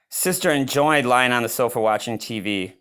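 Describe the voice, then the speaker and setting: A man reading a picture book aloud as host, expressive and given to chatty reactions to the story. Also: medium pitch, moderate pace. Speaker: read-aloud reader and channel host.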